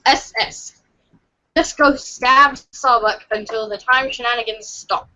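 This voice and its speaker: A woman's voice: fairly high pitch, unhurried, sharp tone, low-quality recording. A woman reading narration aloud in a theatrical style for a podcast. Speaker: podcast cast reader.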